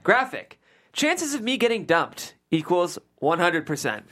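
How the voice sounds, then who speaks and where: A woman reading a comedy script's stage directions aloud at a table read, with expressive, emphatic delivery. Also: fairly low pitch, a little slow.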